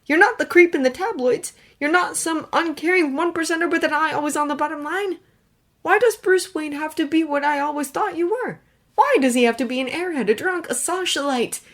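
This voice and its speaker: A woman voicing an earnest, remorseful young man who speaks in a high, strained, pleading voice.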